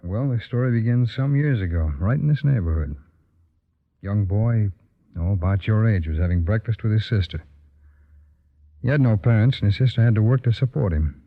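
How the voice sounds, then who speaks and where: An actor playing a calm, earnest FBI agent who narrates and acts in an old-time radio drama. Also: male voice, fairly low pitch, moderate pace. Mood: storytelling. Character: calm, earnest adult male FBI agent telling his story. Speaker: narrator and lead character. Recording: thin, tinny old radio recording.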